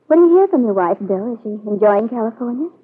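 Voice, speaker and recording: A woman's voice: on the high side, animated, fast, slightly rough. An actress with an American accent playing a proud, hurt young woman, cool and formal, in an old radio soap opera on a muffled vintage recording. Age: young adult. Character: proud, hurt eighteen-year-old country girl, coolly aloof.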